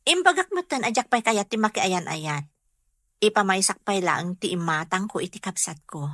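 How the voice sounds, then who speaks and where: A woman's voice: mid-range voice, expressive, moderate pace, fairly bright tone, dry recording, clean studio sound. A woman playing a sensible, dutiful young working woman in an Ilocano radio comedy-drama, firm and matter-of-fact, with an Ilocano accent. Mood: admonishing.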